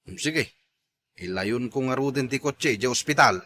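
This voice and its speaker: A man's voice: mid-range voice, normal speed, sharp tone. A man with an Ilocano accent playing a good-natured, coaxing husband in a comedy drama, with some background noise.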